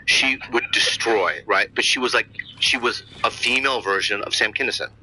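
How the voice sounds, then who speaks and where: A man's voice: lowish voice, normal speed, crowd noise, low-quality recording. A middle-aged man co-hosting a podcast, telling a rambling story in casual chat, full of restarts. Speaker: podcast co-host.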